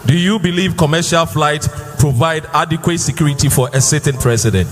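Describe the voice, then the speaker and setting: A man poses a question from the floor in a long, echoing hall. His delivery is formal and deliberate, with some hesitations. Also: a little high, soft background music. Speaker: audience member asking a question. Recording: hall reverb.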